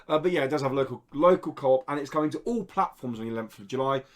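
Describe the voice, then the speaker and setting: A man's voice: mid-range voice, lively intonation, rapid-fire. A man hosting a podcast, presenting news in a casual, chatty style.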